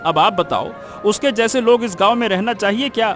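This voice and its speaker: A man's voice: high-pitched, a little fast, a bit bright, faint music behind. A man playing a scheming, spiteful village cheat in a cartoon, argumentative, blustering and insistent. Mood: scheming, persuasive. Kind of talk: Hindi cartoon moral story acting.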